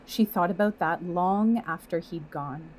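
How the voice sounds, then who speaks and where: A woman reading a scripted literary story as narrator, in a measured, reflective manner. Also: lowish voice, a little mellow, a little hiss or hum.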